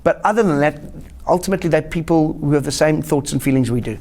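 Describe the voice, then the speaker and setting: A middle-aged man with a South African accent, telling stories at a brisk clip. His voice sits fairly high and leaps upward for punchlines. He talks like a comedian chatting on a studio couch. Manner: animated anecdotes with lively pitch jumps. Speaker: guest comedian. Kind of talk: casual interview storytelling.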